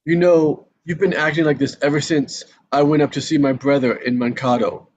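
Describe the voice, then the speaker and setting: A man's voice: fairly high pitch, fairly even intonation, moderate pace, a little mellow, lo-fi sound, a little hiss or hum, natural voice. A man playing an exasperated, defensive teenage boyfriend in a table read, with a nagging manner. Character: exasperated teenage boyfriend, humorless lab partner. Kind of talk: live table read acting.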